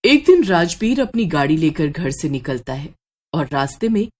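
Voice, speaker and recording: A woman's voice: low-pitched, very animated, normal speed, darkish tone. A woman storyteller reading a scripted horror story.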